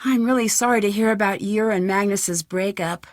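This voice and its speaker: A woman's voice: mid-range voice, moderate pace, dry recording, studio quality, close to an everyday voice. An actress playing a friendly, inquisitive young woman detective in a mystery video game, politely asking questions. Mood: sympathetic. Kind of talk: scripted video game dialogue.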